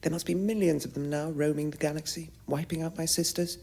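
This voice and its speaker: A woman playing a weary but resolute space adventurer, with a husky, breathy voice, in a radio comedy drama. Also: sits low, fairly even intonation, a little fast, mellow, exaggerated voice. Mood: weary, determined.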